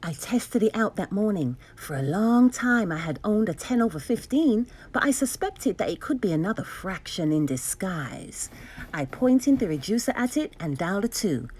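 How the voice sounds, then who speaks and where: A woman reading a children's storybook aloud expressively, enunciating clearly with dramatic emphasis. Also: medium pitch, sing-song, normal speed, darkish tone. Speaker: storybook reader.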